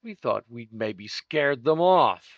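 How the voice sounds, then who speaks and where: A man's voice: mid-range voice, expressive, slow pace, vocal fry, dry recording, highs cut off. A man playing a wry, unruffled police lieutenant in a 1950s-style radio drama, with a tough, casual delivery.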